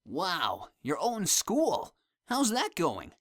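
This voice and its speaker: A woman voicing a casual, expressive teenager in an animated-style comic dub. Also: lowish voice, drawn-out words, no echo, studio quality, heavily acted. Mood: friendly.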